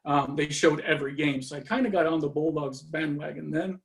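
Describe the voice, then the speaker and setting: Brief: man from Norway, fairly high voice with a gravelly edge, loose and rambling guest talk. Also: a little fast. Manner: relaxed, lots of fillers and trailing off. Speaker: interview guest. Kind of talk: casual conversation.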